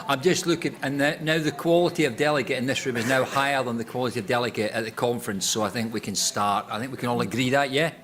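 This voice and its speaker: A man's voice: medium pitch, fairly even intonation, fast, few pauses, fairly bright tone. A middle-aged man with a Scottish accent chairing a conference panel, wry and teasing as he introduces the speakers. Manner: wry, teasing, self-deprecating. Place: conference room.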